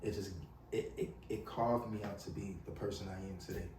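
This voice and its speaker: A man's voice: lowish voice, steady tone, a little fast, darkish tone, a little hiss or hum. A man with a New York accent, talking casually as a music artist guest in an interview, rambling with lots of "you know what I'm saying". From New York City. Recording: roomy, distant mic with faint ambience.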